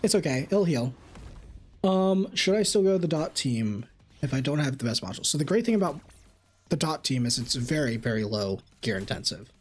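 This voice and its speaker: A man live-streaming a game, reading chat questions aloud and answering them in an animated way. His voice is slightly raspy. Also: mid-range voice, normal speed, faint music behind.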